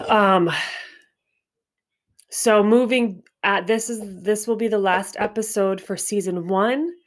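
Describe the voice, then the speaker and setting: A stuffy-nosed woman hosting a spiritual wellness podcast, talking in a reflective, unhurried way with frequent restarts. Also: medium pitch. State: stuffy nose. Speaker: podcast host.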